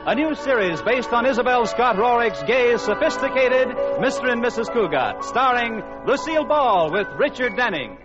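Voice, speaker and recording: A man's voice: extremely high pitch, lively intonation, moderate pace, few pauses, loud music behind the voice. A man with an American accent announcing and narrating a scripted 1940s radio comedy. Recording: muffled old radio recording.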